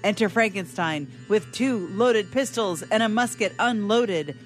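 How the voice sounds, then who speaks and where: A woman narrates a radio melodrama slowly and theatrically. Her mid-pitched voice reads stage directions deliberately, with faint music behind. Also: expressive.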